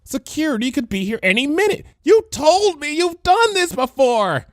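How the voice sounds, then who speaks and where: A man's voice: very high-pitched, big pitch swings, moderate pace, no room sound, clean studio sound, voice pushed up. A man voicing a nervous, flustered female pony from a storybook, frantic and pleading. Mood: panicked, pleading. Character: nervous, panicky young female pony, reluctant conspirator.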